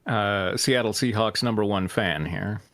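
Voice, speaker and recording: A man's voice: fairly low pitch, a little slow, fairly bright tone, no echo. A man bantering casually as a panelist on a comedy show.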